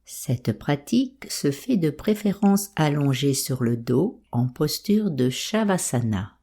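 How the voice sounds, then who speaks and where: Slow, low-voiced woman guiding meditation, soft and breathy. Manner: calm, soothing, unhurried instruction. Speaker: meditation guide. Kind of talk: guided yoga nidra meditation.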